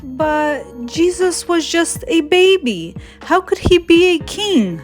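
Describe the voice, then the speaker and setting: A woman's voice: very high voice, animated, slow pace, faint music behind, voice pushed up, heavily acted. A woman voicing a curious, innocent little bear cub with a childlike, questioning way of talking in a storybook. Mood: curious, puzzled.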